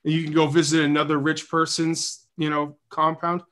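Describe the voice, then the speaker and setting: A man's voice: on the high side, monotone, normal speed, a bit bright. Chatty joking male podcast host. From New Hampshire. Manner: joking, chatty, thinks aloud. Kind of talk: casual political chat on a livestream.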